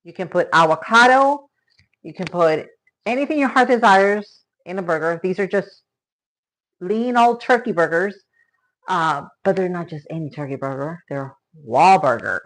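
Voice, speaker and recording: Lower-pitched woman chatting while cooking. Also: lively intonation, a little slow, long pauses, a bit bright, low-quality recording. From born in Spain to Cuban parents, raised in Florida from age ten. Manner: chatty, exclaiming, thanking viewers as she works. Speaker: live stream host cooking at home. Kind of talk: casual chat with live viewers. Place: home kitchen.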